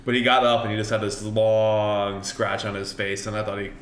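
A man's voice: on the low side, monotone, normal speed, fairly bright tone, a little hiss or hum. A man, a coach, telling a rambling story in casual chat, with many ums along the way. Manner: rambling, filler-heavy with frequent ums. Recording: echoey room.

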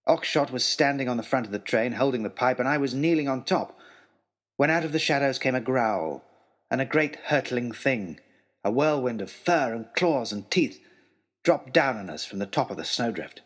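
A man narrating a first-person adventure story in an expressive, theatrical way. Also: medium pitch, normal speed.

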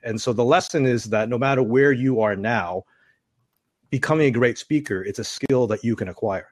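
Measured deep-voiced man from Canada. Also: no room sound, studio quality. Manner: measured, explanatory. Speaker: author and public speaking coach. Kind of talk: interview guest.

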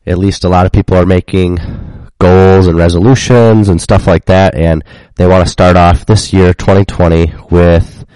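A man's voice: low-pitched, no echo, rough recording. A man co-hosting a casual faith and finance chat, stuffed up as he gets over a cold. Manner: relaxed, conversational, with fillers. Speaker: podcast co-host.

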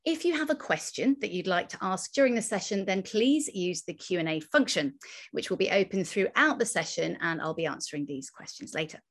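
A woman's voice: mid-range voice, animated, fairly quick. A woman film critic hosting an online directing masterclass, friendly and upbeat, reading a scripted introduction before interviewing her guest. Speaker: film critic, broadcaster and event host.

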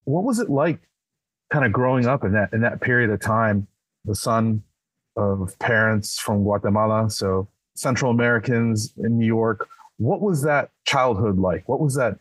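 A podcast host with a husky, somewhat low voice asks his guest long, friendly questions that wander before landing. The recording is close and dry. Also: moderate pace, no room sound, poor audio. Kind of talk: podcast interview.

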